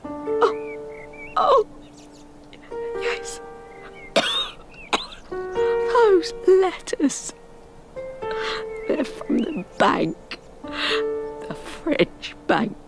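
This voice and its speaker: A woman playing a feverish, confused and fretful sick woman in a radio drama, muttering tearfully and haltingly. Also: very high-pitched, huge pitch swings, vocal fry, full character voice. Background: loud music.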